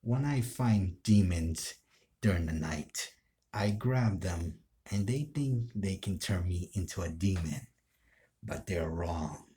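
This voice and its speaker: A man playing a menacing, power-hungry anime villain who is neither human nor demon, speaking in a deliberate, ominous, taunting way. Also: low-pitched, expressive, mellow. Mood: ominous, boastful. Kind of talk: anime villain role play.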